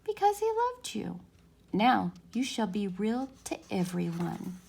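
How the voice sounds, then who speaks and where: Woman as a gentle, calm storybook fairy. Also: medium pitch, slow, vocal fry, breathy edge, full character voice. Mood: tender, reassuring. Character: gentle nursery magic fairy, kindly and reassuring.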